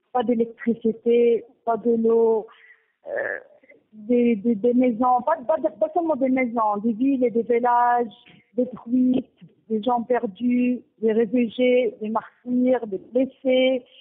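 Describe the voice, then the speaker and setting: A woman from Gaza, speaking haltingly with many restarts, giving a personal testimony and partly reading her own text. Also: fairly high pitch. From Gaza, Palestine. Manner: halting, with frequent restarts and pauses. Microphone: telephone. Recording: tinny phone line.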